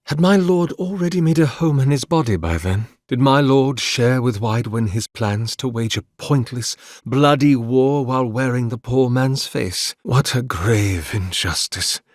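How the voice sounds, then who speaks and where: A man as a slow, solemn, ancient priest. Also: medium pitch, animated, no room sound, studio quality, lightly acted. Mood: wistful, indignant. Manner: formal, measured, eloquent, solemn. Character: ancient male godlike priest, devout, weary, grieving, dignified. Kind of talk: dramatic video game dialogue.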